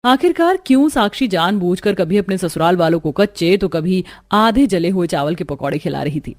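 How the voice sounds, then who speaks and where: A woman's voice: lowish voice, lively intonation, normal speed, no echo, studio quality. A woman reading scripted narration for a children's cartoon story.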